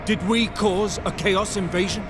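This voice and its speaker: Man playing a high-pitched, earnest young space marine. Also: steady tone, moderate pace, lightly acted. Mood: troubled, accusing. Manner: urgent, questioning, intense. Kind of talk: dramatic video game acting. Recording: loud music and artillery fire behind.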